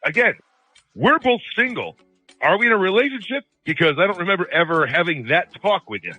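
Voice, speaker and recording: Young male American caller, forceful bright tone, very varied intonation, halting casual delivery. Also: sits high, big pitch swings, muffled recording, soft background music. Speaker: phone-in guest. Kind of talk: casual phone conversation.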